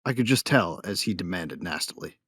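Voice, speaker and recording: A man's voice: deep voice, animated, fairly quick, fairly bright tone, breathy edge, no room sound, clean studio sound, full character voice. A man playing a cocky, wry bounty hunter who narrates his own story in an audio drama. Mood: wry, confident. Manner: wry, self-satisfied. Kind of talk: first-person dramatic narration.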